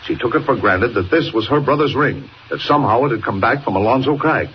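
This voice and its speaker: A man acts a bossy, urgent newspaper chief in a 1940s radio play. He speaks brusquely at a middling pitch. The vintage recording is dull with some noise. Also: a little fast, natural voice. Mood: brusque, insistent. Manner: brusque, insistent. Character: gruff, urgent, bossy male newspaper boss.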